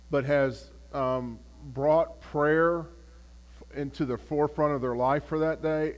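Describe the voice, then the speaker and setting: Pastor, male, phlegmy throat, halting Bible study delivery with fillers. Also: medium pitch. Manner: halting, repeats words, many fillers. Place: church. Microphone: camera.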